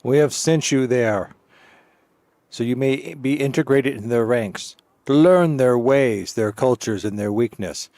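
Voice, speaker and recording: A man's voice: medium pitch, measured pace, darkish tone, no echo. Wry man streaming, dry close sound. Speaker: streamer playing a game. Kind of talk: joking gameplay commentary. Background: game music.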